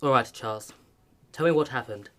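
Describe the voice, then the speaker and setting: An actor playing a stiff-upper-lip Edwardian chief constable with a British accent, brisk and controlled, in a radio play. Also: male voice, medium pitch, sharp tone, close to an everyday voice. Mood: firm, businesslike.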